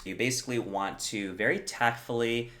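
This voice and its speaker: A man's voice: medium pitch, moderate pace, pushed and bright. A young adult man co-hosting a podcast, explaining things in an easygoing, chatty way and laughing along. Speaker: podcast co-host. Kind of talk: casual chat.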